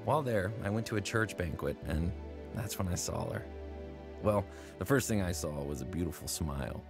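A man's voice: low-pitched, lively intonation, moderate pace. A young man narrating his own story in a scripted radio drama, in an earnest, reflective voice. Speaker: narrator and lead character. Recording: studio drama over music. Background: music bed.

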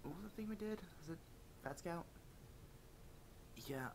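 A man's voice: animated, a little slow, creaky. A young man playing a shy, tsundere gamer boyfriend in a casual ASMR voice-call roleplay, mumbling hesitantly and distractedly. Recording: noisy microphone with low rumble.

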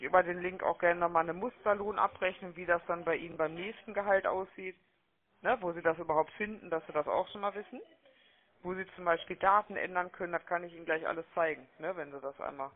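A man with a notably high voice talks as a call-centre agent on a phone sales call. He is chummy and wordy, tacking small questions onto his sentences. The sound is thin and narrow, like a telephone line. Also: a little fast. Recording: muffled, narrow-band phone-call audio.

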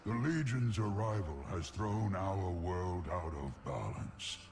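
An actor playing a grave old bull-man archdruid in a video game, solemn and formal, with a gravelly rumble. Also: animated, slow pace, darkish tone, exaggerated voice. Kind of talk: video game character dialogue. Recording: noisy game audio with ambience.

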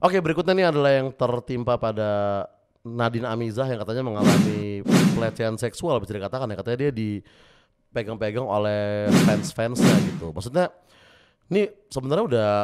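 A young man hosting a comedic news monologue, his voice a bit hoarse, joking and rambling with self-mocking asides into a studio mic. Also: mid-range voice, noisy background. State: slightly hoarse voice. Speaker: host. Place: small studio with brick wall. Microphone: studio mic on stand with headphones.

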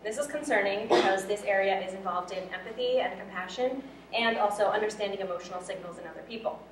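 A woman's voice: medium pitch, quick pace, far from the mic. A young adult woman giving a prepared student presentation in a lecture room. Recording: echoey room from afar, faint noise.

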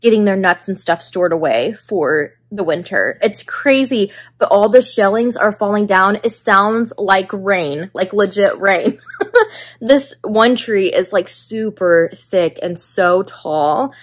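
A woman's voice: medium pitch, slow pace. Chatty young woman vlogging from her chair. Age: young adult. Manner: chatty, lots of "like", laughing asides. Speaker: book vlogger. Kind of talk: casual vlog chat to camera. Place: living room, seated on a leather chair.

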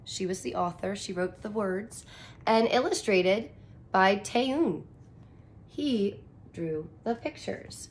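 Female teacher, 30s, unhurried expressive storytime read-aloud with simple explanations, slightly noisy home recording. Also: mid-range voice. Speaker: elementary teacher reading aloud. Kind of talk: picture book read-aloud with commentary for young children. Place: small furnished room indoors.

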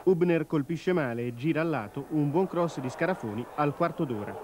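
A man's voice: fairly high pitch, lively intonation, normal speed. A man reading a scripted football match report for television. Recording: TV broadcast with clear stadium noise.

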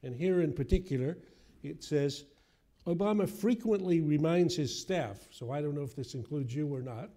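A man's voice: on the high side, normal speed, mellow. A man in his seventies, moderating a public forum as he reads aloud and poses questions. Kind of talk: reading a quotation and posing a question at a forum. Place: auditorium stage at a podium. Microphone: podium microphone.

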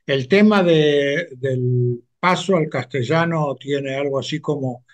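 An older man in his seventies speaks slowly, pausing often to find his words. His voice sits a little high for a man. He talks into a headset on a video call from a room at home. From Argentina. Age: 70s. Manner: measured, hesitant with frequent "eh" pauses. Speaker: interview guest, retired sociologist. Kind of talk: slow, thoughtful interview answers.

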